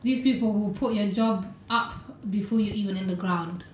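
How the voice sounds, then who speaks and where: A young woman, a podcast guest in casual chat, playful and animated. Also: mid-range voice, moderate pace. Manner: animated, playful, quoting others. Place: podcast studio, indoors. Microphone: studio mic on arm. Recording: echoey room, faint hiss.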